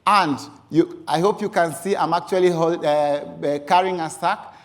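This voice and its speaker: A man's voice: a little high, steady tone, moderate pace. A man from Kenya with a Kenyan accent, a youth organization founder giving a personal presentation and often stressing "actually". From Mombasa, Kenya. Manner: frequent emphatic use of "actually". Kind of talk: personal presentation with photo slides.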